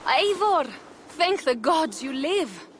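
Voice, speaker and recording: A woman's voice: high-pitched, big pitch swings, slow, a little hiss or hum, full character voice. An actress playing a bold, blunt Viking warrior woman in a video game, frank and earthy in manner. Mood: relieved, wry. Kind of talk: dramatic cinematic game acting.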